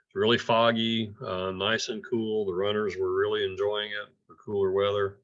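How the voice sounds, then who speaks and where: A man giving an informal report at an amateur radio club meeting, halting and hesitant, often repeating words, in dull, muffled audio. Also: lowish voice, level tone, a bit bright.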